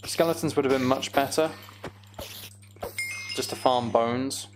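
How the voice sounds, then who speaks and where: A man's voice: medium pitch, measured pace, noisy background. A man giving casual, self-deprecating gameplay commentary, musing aloud as he goes. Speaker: gaming video host.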